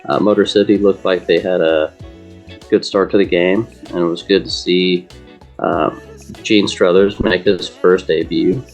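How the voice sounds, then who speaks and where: A man giving casual game analysis as a panelist, informal and somewhat halting in his phrasing. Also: deep voice, fairly even intonation, dull audio, soft background music. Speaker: sports show panelist.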